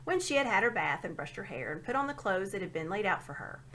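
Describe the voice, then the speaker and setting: A woman reading a storybook aloud as an expressive narrator. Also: mid-range voice, fast talker, a little hiss or hum. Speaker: storybook reader.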